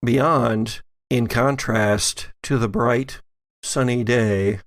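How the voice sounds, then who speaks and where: A man narrating a tabletop horror game as game master, in a measured, halting storytelling manner. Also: medium pitch, no echo.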